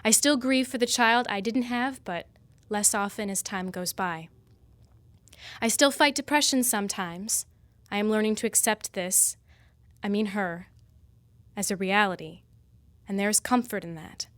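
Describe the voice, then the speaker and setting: A woman's voice: mid-range voice, unhurried, a bit bright, no echo, close to an everyday voice. Sincere actress as a grieving mother, close dry sound. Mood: wistful acceptance. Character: Julie, grieving mother of a sick baby girl, earnest, confiding. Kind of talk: radio drama monologue, reading a personal letter.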